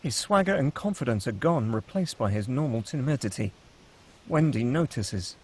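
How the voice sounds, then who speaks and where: A man's voice: mid-range voice, animated, normal speed, darkish tone. A man reading scene headings and stage directions as the narrator of a scripted sci-fi table read.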